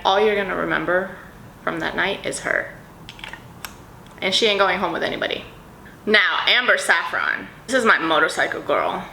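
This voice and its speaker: A woman's voice: lowish voice, animated, moderate pace, gravelly, pauses often, bright, forceful tone. A woman in casual vlog chat, bubbly and rambling, describing scents in a dreamy way with lots of fillers. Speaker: perfume vlogger. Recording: echoey room with faint ambient noise.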